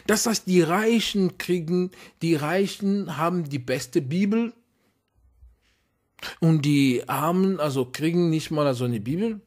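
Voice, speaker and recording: A man preaching a sermon in a language he learned as an adult, hesitant and repeating words, then rising to emphatic, high-pitched exhortation. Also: lively intonation, a little slow, a little mellow. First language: not German. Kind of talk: impassioned sermon.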